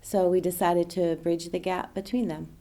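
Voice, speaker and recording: A woman, a program organizer, giving calm, measured explanations in a documentary interview. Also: on the low side.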